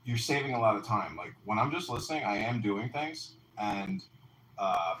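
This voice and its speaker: A man's voice: lowish voice, expressive, normal speed, fairly bright tone. A man hosting a casual chat, talking loosely with lots of fillers and casual swearing. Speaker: host of a live audio room. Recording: roomy distant phone audio with faint noise.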